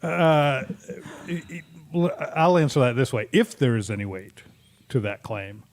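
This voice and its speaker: A man's voice: mid-range voice, unhurried. Sarcastic man hosting a talk podcast. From Massachusetts. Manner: sarcastic, joking, with frequent restarts. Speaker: podcast host. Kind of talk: casual political chat.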